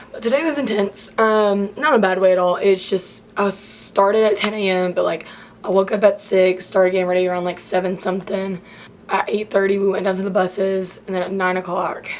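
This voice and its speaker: Chatty hoarse young woman vlogging. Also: mid-range voice, a little hiss or hum. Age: late teens. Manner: chatty, rambling, many "like" fillers. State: hoarse, losing her voice. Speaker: college freshman vlogger. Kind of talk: casual vlog.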